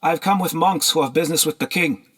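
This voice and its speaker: A man's voice: a little high, fast talker, a bit bright, voice pushed up. A man reading a storybook, playing a humble, guarded monastery slave who answers carefully and with deference.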